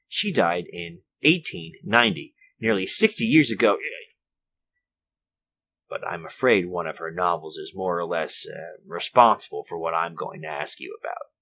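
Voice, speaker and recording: Radio drama role: courteous infirm old inventor, low pitch, clear forward edge, halting genteel delivery. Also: male voice, pushed and bright, exaggerated voice. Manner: hesitant, genteel, rambling, formal. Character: elderly, courteous infirm inventor of artificial limbs. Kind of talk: retro 1940s radio drama acting, monologue.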